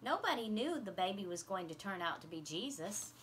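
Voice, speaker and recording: Storybook role: patient mum explaining to kids read by a woman, clear-cut bright tone, medium pitch. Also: big pitch swings, a little fast, a little hiss or hum. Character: patient, explaining mother running a church pageant. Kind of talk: children's novel read aloud.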